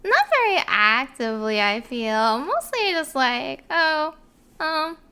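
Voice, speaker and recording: Giggly woman chatting on a podcast, really high voice, playful. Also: very animated, slow pace, bright, forceful tone. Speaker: podcast voice actor guest. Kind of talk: casual chat.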